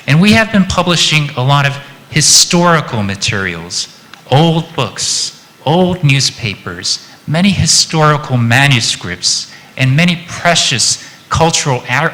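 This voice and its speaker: A Japanese man with an American-influenced accent giving a chatty, lively conference presentation and joking with the audience. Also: mid-range voice, in a large hall, poor audio. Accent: American-influenced English. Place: conference hall.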